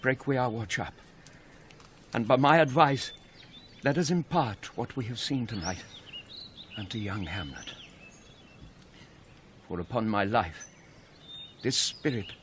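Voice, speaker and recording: Declamatory British Shakespearean scholarly gentleman. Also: fairly low pitch, expressive, slight background noise. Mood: solemn, thoughtful. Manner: declamatory, measured verse delivery. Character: grave scholarly gentleman confronting a ghost on night watch. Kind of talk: classical Shakespearean radio drama acting.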